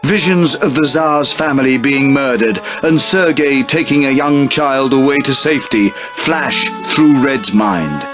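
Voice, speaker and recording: A man's voice: medium pitch, measured pace, few pauses, a bit bright. A man reading scripted, dramatic narration for a game cutscene. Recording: music behind the voice.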